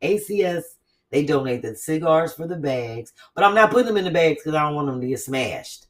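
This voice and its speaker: A woman hosting a casual chat, talking in an animated, blunt, profane way with quick asides. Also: deep voice, far from the mic. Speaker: host.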